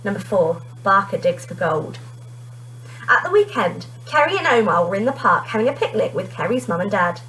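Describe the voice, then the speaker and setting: A woman teacher reading a children's storybook aloud in an expressive narrating style. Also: medium pitch, normal speed, a little hiss or hum, poor audio.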